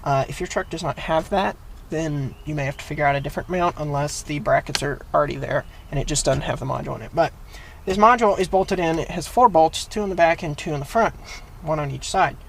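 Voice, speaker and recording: A man's voice: fairly high pitch, fast, vocal fry, fairly bright tone, a little hiss or hum. A man hosting a how-to video, talking through a hands-on job in a casual, plain-spoken, explanatory way. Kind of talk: casual hands-on DIY tutorial.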